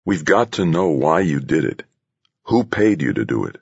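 A man's voice: a bass voice, big pitch swings, moderate pace, creaky, breathy edge, dry recording, muffled recording, heavily acted. A man voicing a smaller, brainy man in an audiobook, earnest and placating yet stubbornly insistent. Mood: reluctant, firm. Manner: earnest, soft-spoken but insistent. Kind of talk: audiobook character voice.

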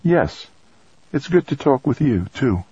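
Friendly, breezy woman colleague played by a male audiobook reader, husky, animated, pitched up. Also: normal speed, dry recording, muffled recording. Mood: gently reassuring. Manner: breezy and colloquial, calling people mate. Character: adult woman colleague, friendly, cheerful, thoughtful. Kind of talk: audiobook character dialogue.

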